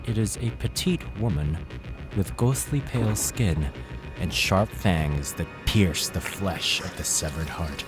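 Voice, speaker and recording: A man's voice: deep voice, expressive, measured pace, music in the background. A man narrating a scripted horror tale as a dramatic storyteller.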